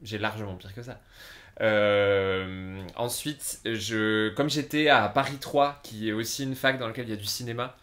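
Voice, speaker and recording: A man's voice: lowish voice, fairly even intonation, normal speed, a bit bright. A man in his thirties co-hosting a podcast in a casual chat, telling anecdotes and dropping English words into his French. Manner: chatty storyteller who drops English words into his French. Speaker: podcast co-host.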